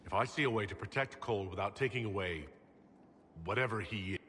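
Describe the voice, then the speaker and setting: A man voicing a calm, commanding hero in a fantasy video game, diplomatic in manner. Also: lowish voice, fairly quick, a little hiss or hum, lightly acted. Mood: firm, concerned.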